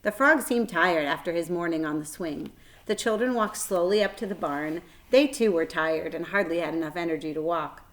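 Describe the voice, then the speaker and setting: A woman reading a children's book aloud as a storyteller, expressive, with dramatic emphasis and shifting volume. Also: lowish voice, moderate pace, rough recording.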